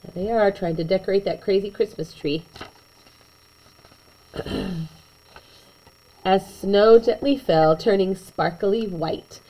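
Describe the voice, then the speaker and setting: A woman reading a children's picture book aloud in an expressive way, with chatty asides to young listeners. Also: mid-range voice, low-quality recording. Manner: animated, slow and deliberate storytelling, chatting to young listeners.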